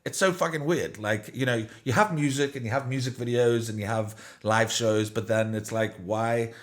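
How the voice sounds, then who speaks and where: A man's voice: medium pitch, normal speed. A man hosting a podcast, chatting casually in a rambling way, full of fillers and swearing. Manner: rambling, profane, many fillers. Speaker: podcast host. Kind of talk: casual chat.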